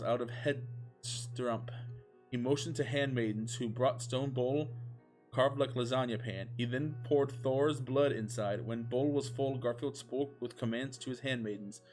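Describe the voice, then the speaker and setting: A man's voice: mid-range voice, darkish tone, low-quality recording. Man narrating mock-epic fan fiction over music. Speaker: streamer reading fan fiction aloud. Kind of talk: comedic dramatic reading of fan fiction.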